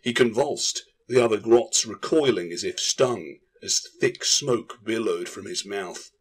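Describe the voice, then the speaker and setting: A man's voice: fairly low pitch, unhurried, breathy edge, low-quality recording. A man narrating a fantasy audiobook in a dramatic, scripted storytelling style.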